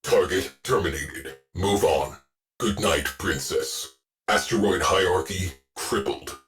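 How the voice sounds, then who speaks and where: A gruff male artificial intelligence commanding a warship crew in a video game. The voice sits very low and moves slowly, with clipped, deadpan military phrasing. It stays sardonic and coolly authoritative, over faint music. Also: exaggerated voice. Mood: sardonic, businesslike. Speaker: ship's AI crew officer. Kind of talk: scripted video game voice lines.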